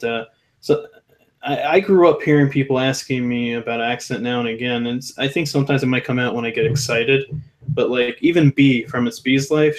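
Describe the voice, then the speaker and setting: A man sits on a casual talk panel, speaking in an easygoing, halting way full of small pauses and restarts. His voice sits at an ordinary middle pitch. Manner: hesitant, many fillers and restarts, easygoing. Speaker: panel co-host. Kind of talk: casual chat.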